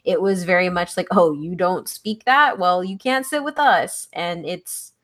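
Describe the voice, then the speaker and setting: A woman's voice: on the low side, unhurried, a bit bright. A woman from Texas in casual conversation, earnest and thoughtful, often restarting her sentences with "like". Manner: earnest, frequent "like" fillers and restarts.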